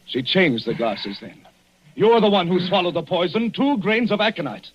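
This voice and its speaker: An actor playing a calm man who coldly taunts a dying killer, in a 1940s radio drama. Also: high-pitched, moderate pace, a little hiss or hum. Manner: taunting, coolly triumphant. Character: cold calm male avenger exposing a killer. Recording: dull old radio broadcast.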